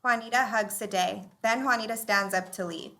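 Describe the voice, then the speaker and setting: A woman's voice: mid-range voice, moderate pace, creaky, bright, forceful tone. A woman reading scripted stage directions as a narrator, recorded live on a slightly noisy microphone.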